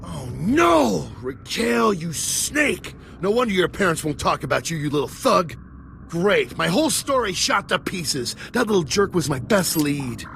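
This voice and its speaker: An actor playing a dogged, excitable streetwise reporter in a video game, slangy and expressive. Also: male voice, sits high, unhurried, vocal fry, a little hiss or hum, full character voice. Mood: dismayed.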